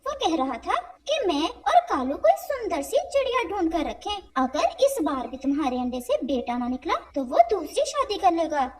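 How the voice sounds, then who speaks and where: Woman as a loud, high-pitched gossipy crow. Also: very animated, normal speed. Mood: nosy, gossipy. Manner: loud, nosy, insinuating. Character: gossipy, meddling female crow.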